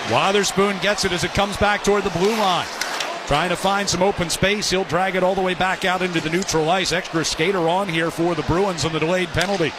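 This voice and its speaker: A man doing live radio play-by-play commentary of a hockey game. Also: on the high side. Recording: loud arena noise behind. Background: hockey arena ambience.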